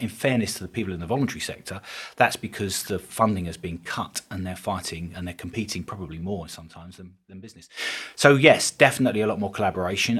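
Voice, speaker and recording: A middle-aged man from England speaks as a guest in a relaxed interview. His voice sits fairly low with a husky, breathy edge. He talks earnestly and often doubles back over his words as he thinks aloud. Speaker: podcast guest, business consultant. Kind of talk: casual interview chat.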